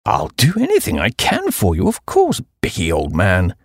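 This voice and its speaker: A man voicing a breezy, affable, idle upper-class English gentleman who talks in slang. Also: sits low, huge pitch swings, normal speed, breathy edge, no echo, clean studio sound, voice pushed down, full character voice.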